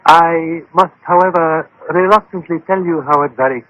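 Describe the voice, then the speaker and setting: Man playing solemn fortune teller, higher-pitched, formal, wily, radio drama, tinny narrow-band recording. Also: lightly acted. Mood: solemn, portentous. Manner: solemn, formal, measured phrasing. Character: solemn, wily Indian mystic crystal-gazer. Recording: tinny, phone-like old radio broadcast.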